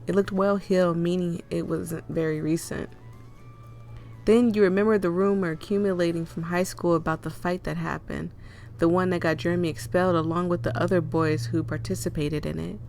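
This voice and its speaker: A woman with a cold and a hoarse, fading voice, reading a visual novel aloud with chatty asides. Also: fairly low pitch, steady tone, fairly quick, a little mellow, soft background music. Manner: chatty, laughs and coughs often, rambling asides. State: hoarse, losing her voice with a cold. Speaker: game reader and streamer.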